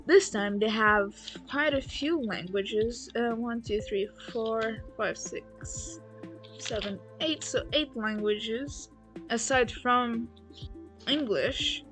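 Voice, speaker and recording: A woman's voice: on the high side, takes it slowly, fairly bright tone, soft background music. A woman whose first language is Portuguese, rambling casually and swearing now and then as she chats while building a toy set. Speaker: LEGO build vlogger.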